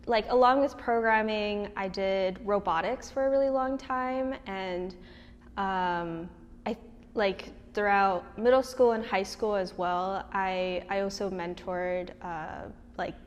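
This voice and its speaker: A young woman in a documentary interview, with a mid-range voice that is neither high nor low. Her talk is loose and conversational, full of fillers and self-corrections. A long reverb trails behind her words. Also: slow pace, lo-fi sound. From Hawaii. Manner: conversational, with many fillers and restarts. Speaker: tech mentor and interviewee.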